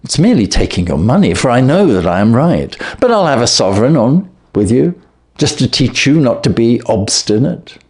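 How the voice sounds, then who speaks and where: A man voicing a surly, cocksure Victorian London poultry salesman in a storybook reading, gruff and blustering. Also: medium pitch, big pitch swings, moderate pace, darkish tone. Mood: argumentative. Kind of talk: storybook character voice.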